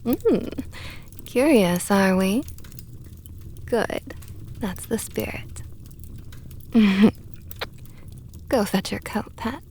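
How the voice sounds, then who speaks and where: A woman's voice: mid-range voice, expressive, husky, heavily acted. A woman playing a whispering vampire knight. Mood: tender teasing. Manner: slow, soft, often whispered, teasing. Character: possessive flirtatious female vampire knight, protective. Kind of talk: ASMR roleplay.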